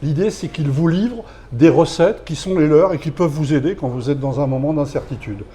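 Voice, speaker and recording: A man's voice: a little high, a little fast, warm tone, slight background noise. French man asking long, winding questions. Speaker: interviewer, business association host. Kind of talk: interview questions.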